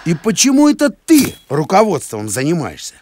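A man's voice: high voice, wildly swooping pitch, normal speed, darkish tone, slight background noise. A man voicing a scrappy, boastful, simple-minded talking dog in a cartoon, blustering and stumbling over his words. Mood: defensive. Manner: blustering, tongue-tied, colloquial. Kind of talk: cartoon voice acting.

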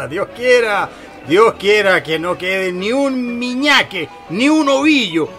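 An actor playing an excitable, stammering Chilean shopkeeper in a theatrical radio play. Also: male voice, high voice, takes it slowly, soft background music, full character voice. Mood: gleeful. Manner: stammering, repeating words. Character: excitable, gleeful married male shopkeeper, Don Serafín.

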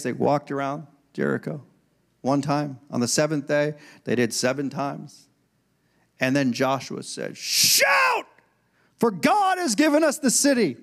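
A man in his forties preaching a sermon on a church stage into a handheld microphone, swinging between loud emphatic lines and quiet conversational asides. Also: high-pitched. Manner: preaching with rising and falling intensity, conversational asides. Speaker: pastor.

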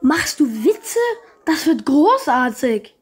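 A woman's voice: high-pitched, very animated, slow pace, exaggerated voice. A woman voicing a cocky, boastful schoolboy classmate in a children's audio drama, with a teasing manner.

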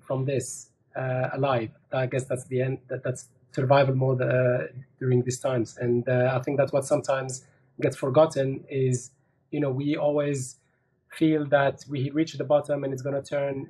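A middle-aged man on a video call panel, speaking in a measured, thoughtful way with frequent fillers. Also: medium pitch, a little mellow, slightly rough, low-quality recording. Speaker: managing director panelist. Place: bedroom with curtains.

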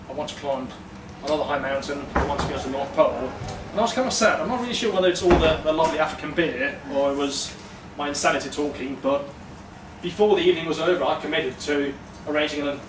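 A man's voice: fairly high pitch, fast talker, echoey room. Danish guy telling a story live, chatty, lots of ums, distant mic, room hiss. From Denmark. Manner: chatty, self-deprecating, many ums, gestures while talking. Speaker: storytelling event speaker. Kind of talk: live personal story talk. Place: small brick-walled event room, indoors. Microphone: far room mic.

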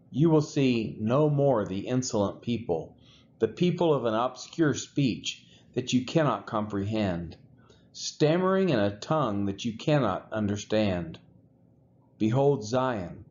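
Slow, expressive man reading scripture. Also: mid-range voice, highs cut off, slight background noise. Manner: measured, expressive rises and falls. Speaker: scripture reader.

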